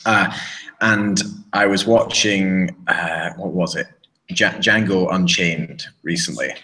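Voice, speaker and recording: A British man with a very low voice chats on a podcast, and his bright, forceful tone carries through his rambling, restart-heavy sentences. He wanders through his points with plenty of fillers. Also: takes it slowly, rough recording. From Britain. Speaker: podcast co-host. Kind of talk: casual chat. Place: living in a small town in southern Spain.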